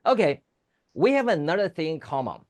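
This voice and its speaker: A man's voice: fairly high pitch, very animated, fairly quick. Taiwanese man hosting an interview. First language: Chinese. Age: middle-aged or older. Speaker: talk show host and interviewer. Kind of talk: formal interview with light banter.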